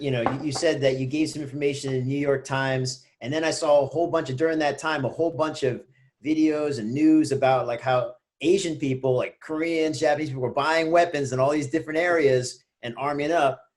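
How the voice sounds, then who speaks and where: A man's voice: fairly high pitch, steady tone, brisk, a little mellow. A man hosting a casual podcast interview, reacting loosely and asking follow-up questions. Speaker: podcast host, gym owner.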